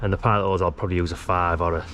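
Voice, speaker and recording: Low-voiced British man over clear background noise. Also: fairly even intonation, very fast, fairly bright tone. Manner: informal, easygoing, chatty. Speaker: DIY tutorial presenter. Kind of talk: casual hands-on how-to commentary. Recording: clearly noisy on-location recording.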